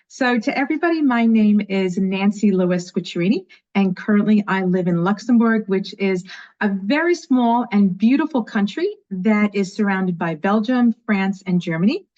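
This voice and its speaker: Eager new york lady in her 50s telling her story, middle pitch, kinda dull remote call sound. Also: animated, normal speed, a little mellow. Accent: light New York. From New York, USA. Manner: enthusiastic, chatty storyteller. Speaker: guest, education leader. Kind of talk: casual interview.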